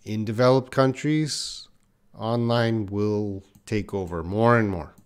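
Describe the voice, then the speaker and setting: A man's voice: lowish voice, slow pace, clear, resonant tone. A man, an English teacher, chatting on a live-stream class and answering viewers' comments as he reads them. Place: in Korea.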